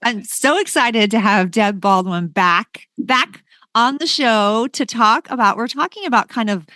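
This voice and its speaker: A woman's voice: a little high, unhurried, sharp tone, dry recording. A woman hosting a podcast in a conversational, enthusiastic and emphatic way, moving between chat and sponsor reads. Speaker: podcast host.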